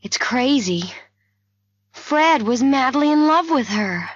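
A woman's voice: sits high, lively intonation, takes it slowly, breathy edge, no echo, highs cut off, voice pushed up, exaggerated voice. A woman voicing a headstrong young female amateur sleuth who questions insistently in an audiobook mystery. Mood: shocked, questioning.

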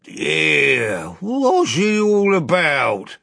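A man's voice: fairly high pitch, huge pitch swings, extremely slow, vocal fry, pushed and bright, dry recording, studio quality, pitched above the performer's own voice, exaggerated voice. Man putting on a mumbling, slovenly doorkeeper. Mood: grumpy, befuddled. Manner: mumbling, slurred words. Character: tousled, slovenly, grumbling doorkeeper of a castle.

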